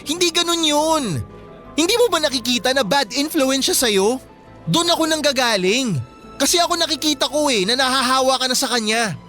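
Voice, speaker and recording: Very high-pitched, insistent young Filipino boyfriend, acted by a man. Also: normal speed, bright, forceful tone. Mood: pleading, defensive. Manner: insistent, emotional. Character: disapproving young boyfriend, earnest and pleading. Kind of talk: radio-drama dialogue acting. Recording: music bed behind the voice.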